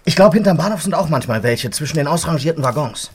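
An actor playing a playful, teasing cook who talks in silly rhymes in a children's radio play. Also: male voice, a little high, animated, fast, slight background noise, lightly acted. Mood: earnest. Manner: playful, sing-song, teasing.

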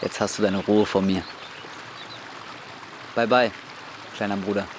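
A man's voice: fairly low pitch, flat delivery, a little slow, lightly acted. An actor in his twenties playing a desperate young man burdened by guilt, blunt and brooding, in a naturalistic radio drama. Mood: anguished, confessing. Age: 20s. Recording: clearly noisy ambience with vehicle sounds.

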